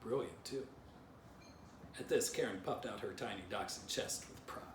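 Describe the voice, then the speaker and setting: A man, a children's author, reading his storybook aloud in expressive narration. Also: lowish voice, fairly quick. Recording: distant mic, roomy, background noise.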